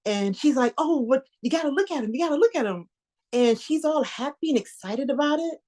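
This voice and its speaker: A woman's voice: high-pitched, animated, fairly quick, a little mellow. An actress performing a stage monologue as an anxious, newly engaged young woman, talking in a rambling, hesitant, confiding way. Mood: chatty.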